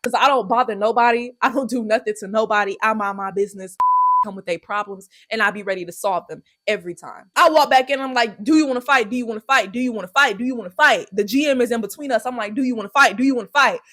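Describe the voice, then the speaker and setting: A young woman with telling a storytime vlog, brash and profane, loudly acting out the quoted lines. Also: on the high side, fast. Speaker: YouTuber.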